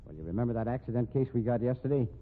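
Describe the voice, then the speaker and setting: An actor with an American accent playing a gruff, irritable middle-aged police captain who grumbles bluntly and sardonically in a 1950s radio drama. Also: male voice, medium pitch, lively intonation, rapid-fire, narrow, tinny audio, lightly acted. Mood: earnest, insistent. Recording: thin, noisy 1950s radio recording.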